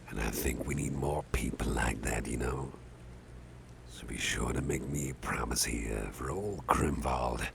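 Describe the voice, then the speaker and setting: An extremely deep, gravelly older boss acted out by a man murmurs in a breathy half-whisper, slow and unhurried. He is soft-hearted, protective and a little jokey, rambling gently to the listener in an ASMR roleplay. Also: a little hiss or hum, heavily acted. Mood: tender.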